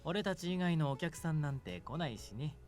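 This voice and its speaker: A man's voice: on the high side, expressive, racing, a little mellow, close to an everyday voice. An actor voicing an easygoing, reassuring young man in a visual novel game. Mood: relaxed, casual. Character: easygoing, reassuring young male cafe regular. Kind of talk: Japanese visual novel voice acting. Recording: game music behind.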